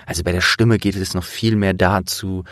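A man's voice: low voice, steady tone, normal speed, fairly bright tone, no room sound, studio quality. A man hosting an interview, explaining things informally and thinking aloud as he goes. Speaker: interviewer and host. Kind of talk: casual conversational explaining.

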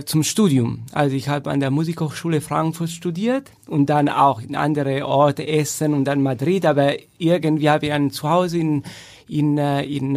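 A man from Italy speaking German with an Italian accent, a cellist talking about his path, pausing often with fillers. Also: a little high, gravelly, darkish tone. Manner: hesitant, many fillers. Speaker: cellist and festival founder. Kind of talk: casual podcast conversation.

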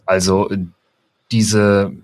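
A man's voice: on the low side, monotone, slow pace, no room sound. A man in his late twenties, a podcast guest talking football in a hesitant, reflective way. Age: 20s. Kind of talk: casual football podcast chat.

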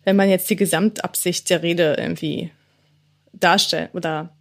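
A woman's voice: lowish voice, fairly even intonation, normal speed. A woman co-hosting a podcast, chatting casually about gestures, laughing often and using many fillers. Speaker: podcast co-host.

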